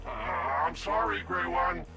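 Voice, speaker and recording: A man's voice: fairly high pitch, takes it slowly, over loud music. A man voicing a crude, bickering ghoul henchman in a video game. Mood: crude, sneering. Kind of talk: video game character acting.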